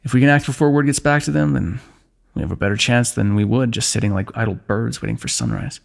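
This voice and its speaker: A man playing a weary, wry fantasy warrior in an ASMR roleplay, speaking in measured, formal phrases with dry teasing. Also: medium pitch, very animated, vocal fry. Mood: resolute. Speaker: ASMR voice actor. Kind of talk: ASMR fantasy roleplay, one-sided dialogue.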